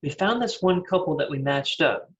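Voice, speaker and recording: A man in a sitcom table read playing an awkward, self-satisfied boss with a halting, casual delivery. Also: a little high, lively intonation, lightly acted. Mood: placating, pleased. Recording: slightly muffled, dull audio.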